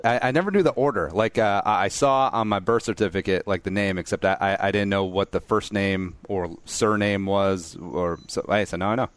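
A man's voice: on the low side, brisk, few pauses, smooth, no echo. A man hosting a podcast, joking and rambling in a loose, self-deprecating chat. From Korea, raised in southeast Minnesota. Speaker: podcast host. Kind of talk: casual interview chat.

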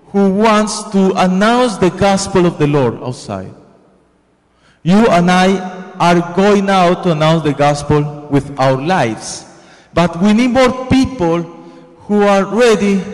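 Colombian-accented priest preaching in a reverberant church. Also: male voice, high voice. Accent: Colombian Spanish. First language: Spanish. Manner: earnest, repetitive, preaching with hand gestures. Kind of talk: homily. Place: large church sanctuary. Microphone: handheld microphone.

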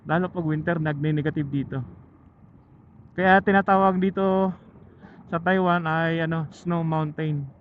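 Male Filipino rider-vlogger, chatty and halting, slightly high voice, narrow phone-like helmet intercom sound. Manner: chatty, halting, thinking aloud. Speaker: motorcycle vlogger. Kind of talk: casual vlog chat to viewers while riding. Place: outdoors riding a motorcycle on mountain roads. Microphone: helmet intercom microphone. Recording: muffled phone-like helmet intercom, loud vehicle noise.

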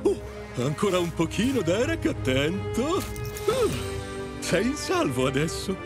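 An actor playing an eager, good-natured, slightly goofy young firefighter in a children's cartoon. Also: male voice, sits high, huge pitch swings, measured pace, heavily acted. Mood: careful. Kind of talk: animated children's cartoon dub. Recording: loud cartoon music behind.

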